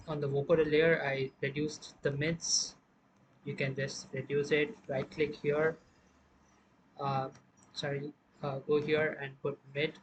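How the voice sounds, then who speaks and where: A man with a slightly high, monotone voice presents an informal music software tutorial. He explains each step haltingly, as he goes. There is clear background noise in the recording.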